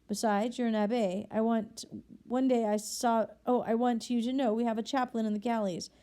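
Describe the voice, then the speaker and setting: A woman's voice: fairly high pitch, steady tone, normal speed, mellow, close to an everyday voice. A woman voicing a stammering ex-convict man. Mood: rambling, earnest. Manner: stammering, pleading, tumbling words. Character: desperate ex-convict man, 46, worn and astonished.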